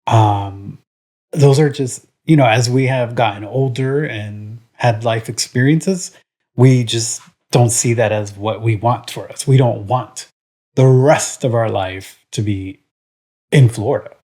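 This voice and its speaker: A man from Puerto Rico co-hosting a laid-back podcast. He meanders through his thoughts with plenty of "um" and "like", yet stays blunt and opinionated, pitched at a middle level for a man. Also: slow pace, a little mellow. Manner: rambling, full of "um" and "like"; frank and opinionated. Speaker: podcast co-host. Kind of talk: casual podcast chat.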